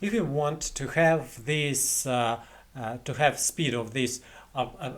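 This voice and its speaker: A young man with a Russian accent walks through a screen-recorded software tutorial in English, which is not his first language. He speaks slowly and haltingly, with frequent "uh"s and repeated words. His voice sits at a middle pitch, recorded at a desk in a small room. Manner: hesitant, many fillers and restarts. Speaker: tutorial host. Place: small indoor room at a computer.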